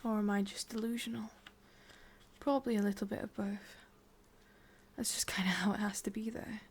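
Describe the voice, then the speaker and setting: A woman with an English accent playing a lovelorn, obsessive immortal witch in a breathy, intimate whispered ASMR roleplay. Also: mid-range voice, flat delivery. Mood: worried, wistful. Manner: slow, hushed, intimate delivery with pauses.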